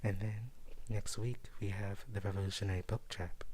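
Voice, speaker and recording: A man hosting an ASMR-style news show, talking softly in a put-on posh British voice and laughing often. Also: on the low side, fairly even intonation, moderate pace, slight background noise.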